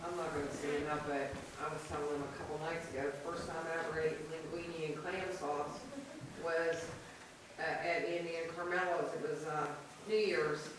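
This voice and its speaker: A woman's voice: sits low, fairly bright tone, slight background noise. Woman's heartfelt memories, echoing church. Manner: heartfelt, rambling, halting. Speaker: mourner sharing memories. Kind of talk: informal memorial remarks. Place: church sanctuary. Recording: echoey room, mic far off.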